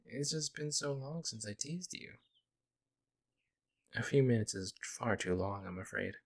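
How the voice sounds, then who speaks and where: A man playing a friendly young werewolf, a lone wolf who talks casually and teases gently in an ASMR audio roleplay. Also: mid-range voice, lively intonation, measured pace. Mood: playful, teasing. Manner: casual, hesitant musing, gently teasing. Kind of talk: ASMR audio roleplay, intimate conversational acting.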